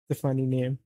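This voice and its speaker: A man's voice: mid-range voice, no echo. A man from Saudi Arabia casually chatting on a live stream, rambling and answering chat as he plays.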